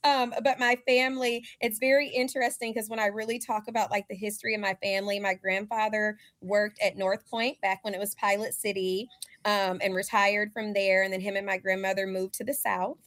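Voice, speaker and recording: A woman's voice: mid-range voice, level tone, fairly quick, fairly bright tone, no echo. A woman who grew up in Alabama, a guest on a community call, talking with enthusiasm. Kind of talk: casual conversation.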